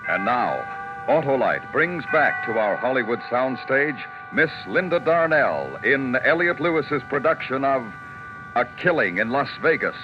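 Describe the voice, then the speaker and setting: Casting: male radio programme announcer, formal dramatic read, muffled old broadcast with clear music. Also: mid-range voice, sing-song, unhurried. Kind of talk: 1940s-1950s radio introduction, scripted. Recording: dull old radio recording over music.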